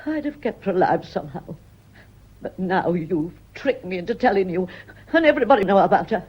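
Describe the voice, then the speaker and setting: An actress playing a bitter, fiercely loyal housekeeper in a radio mystery, stiff and defensive. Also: female voice, mid-range voice, animated, fairly quick, breathy edge, lo-fi sound. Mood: bitter, distraught. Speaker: actor in a radio play. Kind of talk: radio mystery drama acting.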